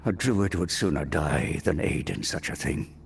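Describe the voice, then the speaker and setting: An actor playing a wounded captive druid in a fantasy game, speaking formally, weary and halting, grateful yet defiant. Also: male voice, low-pitched, gravelly, slightly rough, full character voice. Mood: bitter, pained.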